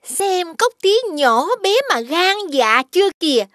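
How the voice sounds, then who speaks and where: Whiny, very high-pitched cartoon fox lady. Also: animated, measured pace, fairly bright tone, dry recording, studio quality, heavily acted. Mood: scolding. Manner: whiny, complaining, dramatic. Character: female fox, sly, whiny, bickering talking animal. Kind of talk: children's cartoon dubbing. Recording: music behind the voice.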